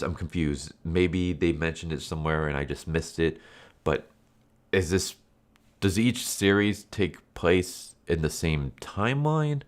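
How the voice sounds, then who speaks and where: A man reviewing a comic book in a casual, rambling way, his voice congested and stuffy from a recent illness. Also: sits low. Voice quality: nasal, stuffy. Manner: rambling, full of fillers and restarts.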